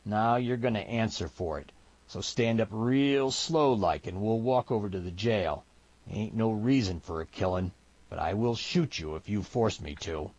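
A man voicing a stern, vengeful storekeeper in a Western, with a rural American accent and calm, deliberate threats. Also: fairly low pitch, muffled recording. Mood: calm, menacing.

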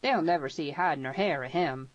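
A woman's voice: deep voice, moderate pace, no echo, natural voice. A woman voicing a tough, blunt old cowboy with folksy, emphatic speech in a western audiobook, on a dull recording. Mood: blunt, insistent. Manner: folksy rustic grammar, emphatic. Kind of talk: western audiobook character reading.

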